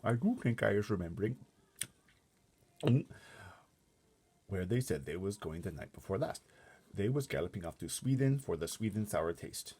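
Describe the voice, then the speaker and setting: A man's voice: lowish voice, animated, moderate pace, pauses often, warm tone, breathy edge. A man voicing an eager, simple big friendly giant with quaint mangled grammar in a storybook read-aloud. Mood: pondering.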